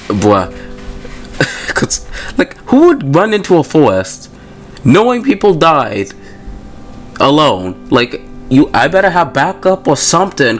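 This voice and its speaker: A man hosting a gaming video, casually commenting and reading story text aloud in an informal, slangy way. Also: mid-range voice.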